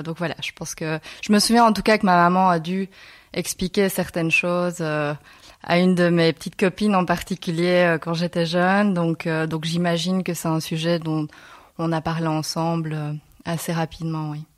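A woman in her thirties with a low voice. She tells her story thoughtfully, stopping often to search for the right word. The sound is dry and close. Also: steady tone, no echo. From Belgium. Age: 30s. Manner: reflective, pauses often to find her words. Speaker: guest. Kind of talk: personal storytelling interview.

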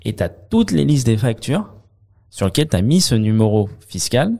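A French accountant talking through his work in a relaxed interview, with many fillers and restarts. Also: medium pitch, normal speed, vocal fry. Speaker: accountant, guest. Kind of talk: conversational interview.

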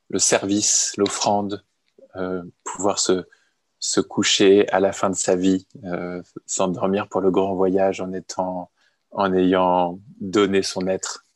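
A middle-aged man answering interview questions slowly and hesitantly, with touches of wry humor. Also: low-pitched, no room sound. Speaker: guest.

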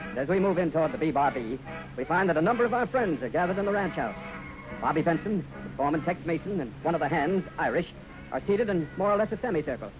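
Brisk American radio announcer man. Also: a little high, animated. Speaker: announcer, narrator. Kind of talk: scripted 1950s radio narration. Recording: muffled old radio recording. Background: music.

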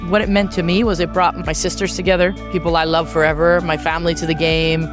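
A woman from Canada, a soccer coach and former player, answering interview questions with energy and many quick restarts. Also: on the low side, music in the background. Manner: energetic, fast, frequent restarts and fillers.

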